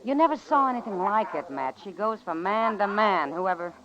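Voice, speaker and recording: A woman's voice: mid-range voice, expressive, moderate pace, a crowd in the background, natural voice. Actress as easygoing western saloon woman, muffled. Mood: gossipy, frank. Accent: American. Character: easygoing, worldly frontier saloon woman, friendly confidante. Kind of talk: old-time radio western acting. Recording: muffled old-time radio recording.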